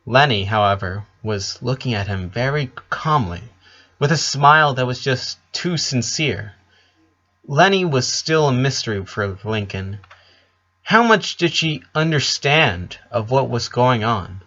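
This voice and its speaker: Male story reader, mid-pitched voice, scripted narration, slightly dull lo-fi recording. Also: expressive, a little slow, bright, forceful tone. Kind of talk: scripted narration of fan fiction.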